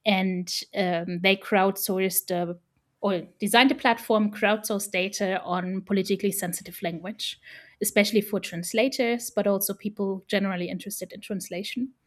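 A woman's voice: mid-range voice, fairly even intonation, normal speed. A woman whose first language is German, speaking in English as an interview guest about her research, thoughtful and full of ums and restarts. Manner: thoughtful, many fillers and restarts. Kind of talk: casual academic interview.

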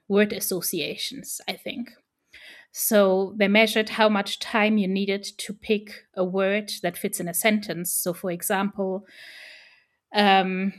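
A woman whose first language is German, speaking in English as an interview guest about her research, thoughtful and full of ums and restarts. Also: medium pitch. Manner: thoughtful, many fillers and restarts. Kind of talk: casual academic interview.

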